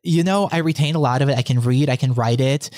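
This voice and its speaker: A young man with a light Russian accent talks as a podcast guest, cheerful and quick to laugh. His voice is recorded dry and close, with no room sound. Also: mid-range voice, darkish tone, no room sound. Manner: upbeat, laughs often. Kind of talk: casual interview chat.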